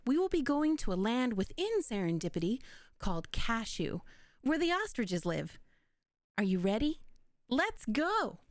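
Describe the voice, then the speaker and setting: A woman's voice: a little high, no room sound, studio quality. A woman hosting a children's read-along, narrating expressively and speaking directly to young listeners. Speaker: storytime host and narrator.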